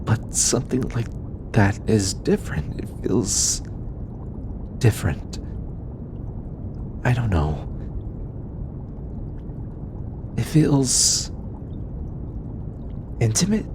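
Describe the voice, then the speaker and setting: A gentle, flustered alien scientist played by a young man speaks close and personal to the listener in an audio roleplay. The delivery is slow and hesitant, with stammers and an animated, swinging melody. A clear rumbling noise sits behind the voice. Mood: shy, hesitant. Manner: hesitant, stammering, soft-spoken.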